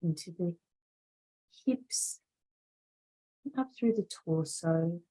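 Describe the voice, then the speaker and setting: A woman leading a guided meditation as a yoga instructor, speaking in a calm, soothing, deliberate way with long pauses between phrases. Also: fairly low pitch, poor audio.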